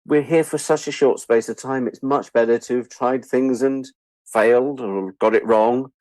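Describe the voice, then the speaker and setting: A man's voice: mid-range voice, normal speed, no echo. A man in his sixties with an English accent, telling anecdotes in a casual interview, self-deprecating and acting out what people said. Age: 60s. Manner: animated storyteller, self-deprecating, acts out quoted remarks. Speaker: interview guest, writer and editor.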